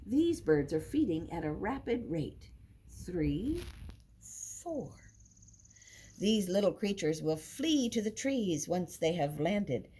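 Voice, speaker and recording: A woman's voice: on the low side, big pitch swings, slow, mellow, breathy edge. An older woman reading a picture book aloud to young children like a teacher, adding simple explanations and counting along. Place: indoor classroom with wooden furniture. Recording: noisy room recording with clicks.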